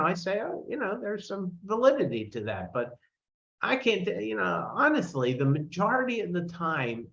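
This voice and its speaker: A man's voice: mid-range voice, fairly bright tone, highs cut off. A man in his sixties talking informally as a financial advisor, explaining things in a conversational, emphatic way and repeating himself to make a point. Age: 60s.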